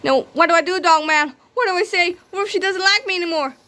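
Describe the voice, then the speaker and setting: A woman's voice: very high voice, fast, pushed and bright, voice pushed up. A woman voicing a nervous, lovestruck male police chief from a comic book, hesitant and flustered.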